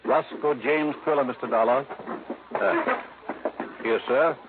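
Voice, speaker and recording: An actor playing a prim, old-fashioned, pedantic head of a family firm in a 1950s radio drama, speaking formally and with fussy precision. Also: male voice, medium pitch, slow pace, close to an everyday voice. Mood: fussily regretful. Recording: muffled old radio broadcast, faint noise.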